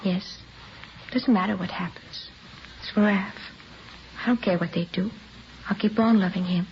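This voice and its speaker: Brief: actress as defiant, obsessively devoted wife in a vintage crime radio play, fervent and insistent, dull hissy recording. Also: female voice, medium pitch, steady tone, moderate pace, close to an everyday voice. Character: defiant, lovesick married woman confessing to a killing. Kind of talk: 1950s radio drama acting.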